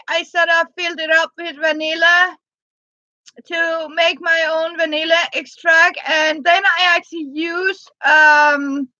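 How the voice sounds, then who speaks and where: Very high-voiced Danish woman, halting. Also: pushed and bright, no room sound, dull audio. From Jutland, Denmark. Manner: halting, restarts words, reads viewer comments aloud. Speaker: live-stream host. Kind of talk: casual live-stream chat.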